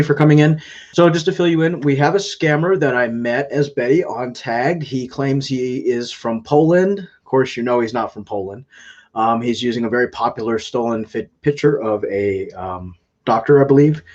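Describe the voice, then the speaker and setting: A man hosting a casual live stream, talking in a halting way with frequent filler sounds. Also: medium pitch, highs cut off. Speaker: scam-baiting live streamer.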